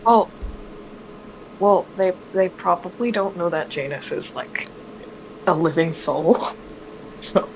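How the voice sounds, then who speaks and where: A hoarse woman playing in a tabletop game, explaining at length with plenty of fillers. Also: lowish voice, slow, pauses often, a little hiss or hum. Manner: rambling, filler-heavy explaining. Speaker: player. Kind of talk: casual tabletop game chat. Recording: dull lo-fi mic.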